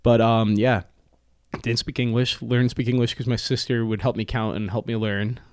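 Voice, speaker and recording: A man's voice: mid-range voice, level tone, moderate pace, a little mellow, clear, resonant tone, no room sound, studio quality. A young man whose first language is Italian, a podcast guest talking casually with lots of fillers and jokes. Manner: chatty, joking, many fillers. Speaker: podcast guest, restaurant owner. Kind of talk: casual interview talk. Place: podcast studio.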